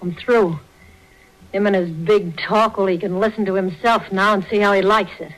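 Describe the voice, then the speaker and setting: An actress playing a brassy, scheming gangster's wife in a 1940s radio crime drama, with an American accent and slangy, sarcastic speech. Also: female voice, medium pitch, fairly even intonation, moderate pace, fairly bright tone, lightly acted, poor audio. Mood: fed up. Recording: vintage 1940s radio broadcast.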